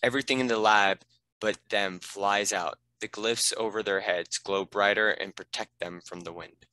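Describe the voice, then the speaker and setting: A man's voice: lowish voice, fairly even intonation, normal speed, sharp tone, dry recording, rough recording. A man reading scripted narration and stage directions for a fantasy script read.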